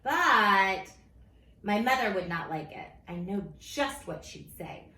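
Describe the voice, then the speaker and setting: A woman reads a picture book to children with big, swooping expression and a bright, forceful voice. She takes her time and plays up the silliness. The room rings a little around her. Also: medium pitch, takes it slowly. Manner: silly, theatrical, expressive. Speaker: teacher reading story time. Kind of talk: animated children's storybook read-aloud. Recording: roomy echo with faint background noise.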